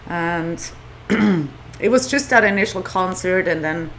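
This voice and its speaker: A woman's voice: lowish voice, unhurried, slight background noise. A woman from Switzerland with a Swiss accent, a guest telling stories in a casual podcast interview. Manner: animated storytelling with quoted dialogue. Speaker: guest, non-profit founder.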